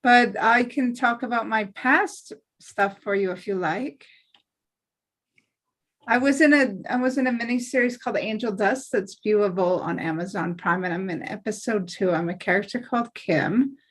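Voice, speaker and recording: A woman chatting casually in a group, talking in a rambling, chatty way as an actor. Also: mid-range voice. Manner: chatty, rambling, listing her credits. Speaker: actor, table read participant.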